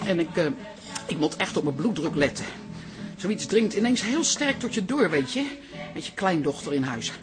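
A woman's voice: fairly low pitch, very animated, normal speed, music in the background. An actress playing a flustered, emotional older grandmother in a radio drama, hesitant and stumbling. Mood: earnest. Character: flustered, emotional grandmother defending her second chance. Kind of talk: naturalistic radio drama acting.

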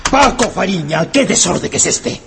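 A man's voice: high-pitched, very animated, moderate pace, gravelly, noisy background, full character voice. A man playing a stern, didactic schoolteacher in a radio drama, speaking with authority. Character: stern, patient-paced male schoolteacher quizzing pupils.

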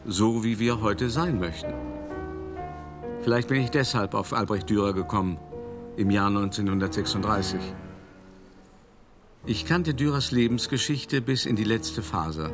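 Low-voiced, worldly old art dealer. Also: male voice. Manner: conversational, wry, addressing the listener directly. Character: worldly, cultured old art dealer reminiscing about his schemes. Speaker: narrator. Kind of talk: first-person radio play monologue. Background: music.

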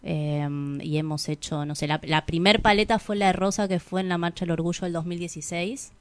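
A woman with an Argentine accent chatting casually as an interview guest, animated in anecdotes and often correcting herself mid-thought. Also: fairly low pitch, a little fast, a little hiss or hum. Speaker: interview guest, artist and singer. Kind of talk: casual chat in a radio interview.

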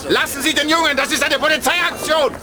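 A man's voice: very high voice, brisk, long reverb tail, crowd noise. A man playing a bossy police inspector in a children's radio play, commanding and officious. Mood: barking orders.

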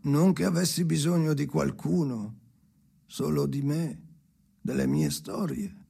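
An actor playing a haunted, restless man in an avant-garde radio drama monologue, theatrical and halting, with a gravelly voice and obsessive delivery. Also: on the high side, exaggerated voice. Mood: brooding, confiding. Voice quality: gravelly, creaky. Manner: theatrical, halting, obsessive, swinging from murmurs to shouts. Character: Henry, haunted, restless man talking to his dead father.

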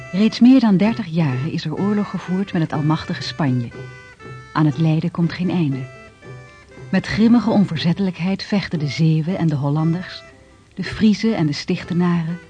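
A man narrating a historical radio drama in a solemn, measured way. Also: on the high side, dark tone. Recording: dull lo-fi radio recording with music.

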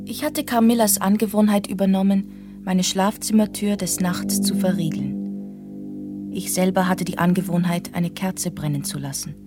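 An actress playing a reflective young woman who narrates a radio drama in the first person, hushed, deliberate and suspenseful. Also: medium pitch, steady tone, natural voice. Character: young woman recounting an eerie night, haunted, reflective. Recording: music under the voice. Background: clear music.

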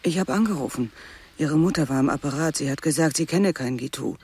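A woman's voice: low-pitched, fast talker, a little mellow, husky. An actor playing an anxious, stubborn mother pleading for help, urgent yet guarded, in a naturalistic radio drama.

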